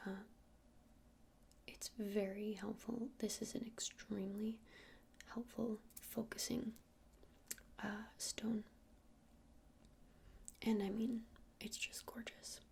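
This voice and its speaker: A woman playing a gentle witch shopkeeper in an ASMR roleplay, speaking in a breathy whisper and explaining things in an unhurried, hesitant, soothing way. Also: fairly high pitch, little pitch movement, slight background noise. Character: gentle witch shopkeeper showing crystals to a customer.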